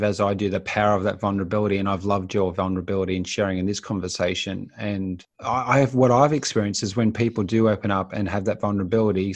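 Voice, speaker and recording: A man hosting a podcast interview, encouraging and lightly joking with his guest. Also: on the low side. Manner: encouraging, joking, frequent affirmations. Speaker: podcast host. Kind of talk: casual interview chat.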